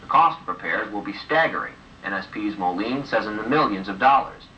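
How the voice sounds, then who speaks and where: A man's voice: on the low side, lively intonation, fairly quick, pushed and bright. A man presenting the news, reading scripted broadcast narration. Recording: old home videotape of TV news, distant, hissy.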